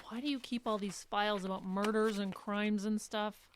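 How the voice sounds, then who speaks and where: An actress playing a weary, reluctant young care worker in an audio drama, with hesitant, understated replies. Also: female voice, medium pitch, fairly even intonation, a little slow, slight background noise, natural voice. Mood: skeptical. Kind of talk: live audio drama acting, naturalistic.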